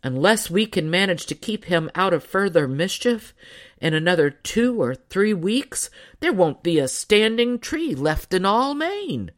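A woman voicing a blustering, loud lumberjack father in a storybook reading. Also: mid-range voice, sing-song, measured pace, voice pushed up. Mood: exasperated. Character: angry, booming lumberjack father of a giant baby.

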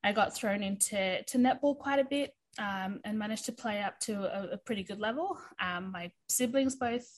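A woman in her early thirties, chatting openly as a podcast guest. Her pitch sits in the middle and her talk runs loose and friendly, full of "um"s and restarts. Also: moderate pace, hardly any pauses. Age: 30s. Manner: chatty, many fillers, laughs easily. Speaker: interview guest. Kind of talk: casual interview.

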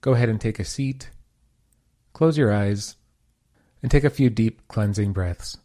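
Mellow-voiced man guiding a meditation. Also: fairly low pitch. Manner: slow, calm, soothing instruction in short phrases. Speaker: meditation guide, host. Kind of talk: guided meditation narration.

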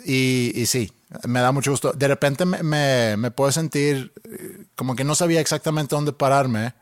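A man's voice: mid-range voice, no room sound. Man co-hosting a podcast, Monterrey accent with a foreign edge, hesitant, dry close sound. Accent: Monterrey Spanish with a foreign touch. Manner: hesitant, self-conscious, repeats words. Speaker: podcast co-host. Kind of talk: casual podcast chat.

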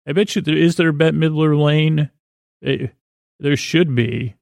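A man with a creaky voice hosting a bedtime podcast in a meandering, improvised monologue, halting and often trailing off mid-sentence. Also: fairly high pitch, no room sound, clean studio sound. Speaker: podcast host.

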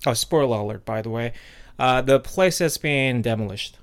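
A man talks through a podcast in easy, meandering casual chat. He stops often on fillers and restarts. His voice sits at an ordinary middle pitch for a man. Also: normal speed. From South Korea. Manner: loose and rambling, many fillers and restarts. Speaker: podcast co-host.

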